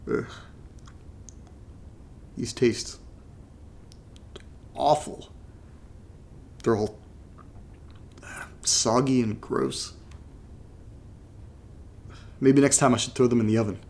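An American man playing an anxious, brooding young podcaster in a horror audio drama, confiding in a halting, casual, gravelly voice. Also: medium pitch, steady tone, a little mellow, exaggerated voice. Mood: disgusted.

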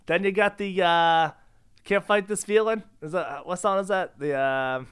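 A man's voice: high-pitched, measured pace, fairly bright tone, clean tone, dry recording, studio quality. A man co-hosting a podcast with casual, playful comedic banter while sick with a cough. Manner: jokey, playful. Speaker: podcast co-host.

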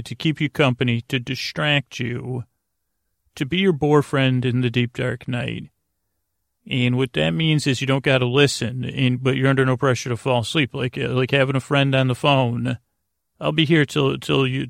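A man with a creaky voice hosting a bedtime podcast, meandering and halting through a rambling monologue with pauses and tangents. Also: medium pitch, dry recording, clean studio sound. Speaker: podcast host. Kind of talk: rambling bedtime monologue to help listeners sleep.

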